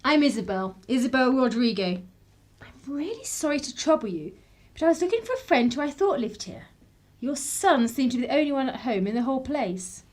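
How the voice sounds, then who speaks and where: An actress performs a well-mannered English lady in a radio play. She is chatty and quietly probing, with an English accent. Her voice sits fairly high and her delivery is genteel. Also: very animated, a little fast. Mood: apologetic. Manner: genteel, polite. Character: polite, chatty, inquisitive English woman visitor with secrets. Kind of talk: radio drama acting.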